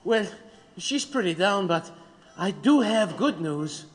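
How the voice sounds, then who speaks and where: A man playing an eager, hopeful newsboy bringing news to prisoners in an audio drama, urgent and excitable. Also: sits high, takes it slowly. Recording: long reverb.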